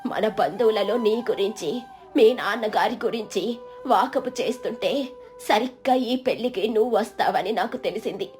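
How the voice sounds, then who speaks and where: A woman voicing a remorseful old aunt who speaks slowly and earnestly in a moral story. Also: fairly high pitch, darkish tone, soft background music, lightly acted. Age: older.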